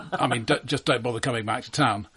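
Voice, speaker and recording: A man with a husky voice co-hosting a film podcast, talking off the cuff in a hesitant, stammering, wryly critical way. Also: mid-range voice, moderate pace, a bit bright. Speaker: podcast host. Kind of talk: unscripted film discussion.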